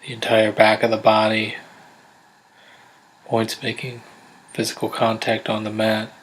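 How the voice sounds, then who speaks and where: A man guiding a body scan meditation in a gentle, unhurried, measured way, leaving pauses between instructions. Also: on the low side, completely flat delivery, breathy edge, low-quality recording. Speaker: meditation guide. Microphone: close USB condenser microphone.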